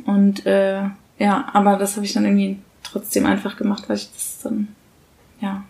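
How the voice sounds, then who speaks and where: A woman in her thirties talks in a casual, colloquial way, as in a relaxed podcast conversation. Her voice sits at an average pitch for a woman, and she chats like a co-host among friends. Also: normal speed, creaky. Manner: colloquial, chatty. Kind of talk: casual conversation about parenting.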